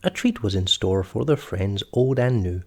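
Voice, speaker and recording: A man's voice: fairly low pitch, big pitch swings, normal speed. A man reading a children's story aloud expressively, as storyteller and host.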